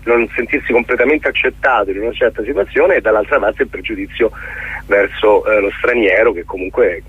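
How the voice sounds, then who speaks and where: Husky middle-aged man on a phone line. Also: on the low side. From Middle East. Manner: hesitant, halting, searching for words. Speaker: theatre director and guest. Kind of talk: radio interview, explaining a production. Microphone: telephone call.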